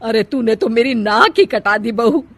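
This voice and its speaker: A woman voicing a scolding middle-aged mother-in-law in a cartoon family story, loud and complaining. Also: fairly high pitch, moderate pace, no echo, close to an everyday voice.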